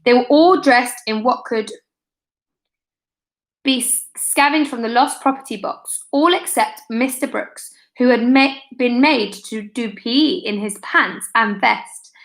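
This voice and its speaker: A woman reading a children's book aloud for an online storytime, expressive and lifting her voice for the characters. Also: fairly high pitch, unhurried. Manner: expressive, lifts voice for character dialogue. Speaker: storytime reader.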